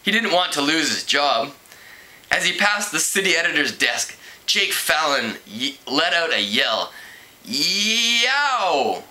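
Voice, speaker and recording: A man reads a story aloud as an amateur narrator. His voice is strikingly bright and forceful and sits a little higher than most men's. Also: lively intonation, measured pace. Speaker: amateur book reader.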